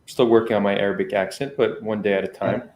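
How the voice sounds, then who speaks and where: A man who is a guest on a podcast, explaining things in a calm, measured way. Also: lowish voice, slight background noise. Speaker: guest, founder of a dialogue channel. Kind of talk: conversational podcast interview. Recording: roomy echo.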